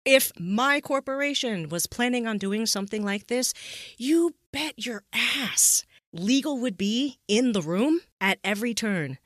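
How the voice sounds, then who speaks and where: A woman's voice: fairly high pitch, expressive, a little slow, a bit bright, no echo, studio quality. A woman co-hosting a podcast discussion, speaking in an emphatic, blunt, casually sweary and opinionated way. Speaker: podcast co-host.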